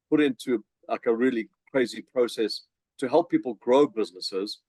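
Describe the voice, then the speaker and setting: A man's voice: mid-range voice, a little mellow, no echo, low-quality recording. A middle-aged man with a South African accent, chatting energetically as a podcast guest with many fillers and restarts. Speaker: podcast guest, business coach. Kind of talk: casual interview chat.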